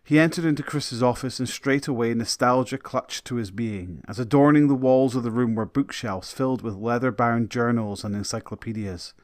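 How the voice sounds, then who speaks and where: A man's voice: mid-range voice, normal speed, few pauses, darkish tone, no echo. Close, dry male story narrator. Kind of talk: scripted dramatic story narration.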